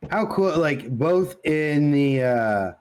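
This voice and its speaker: A man's voice: fairly high pitch, animated, takes it slowly, darkish tone. A man co-hosting a podcast, chatting informally with plenty of slang and some swearing. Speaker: podcast co-host. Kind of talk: casual chat about comics, movies and games.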